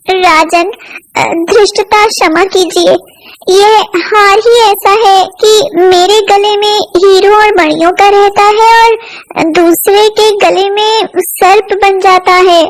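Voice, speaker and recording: Meek young daughter-in-law for a cartoon fable, played by a woman; very high, deferential, pleading, unhurried. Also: fairly even intonation.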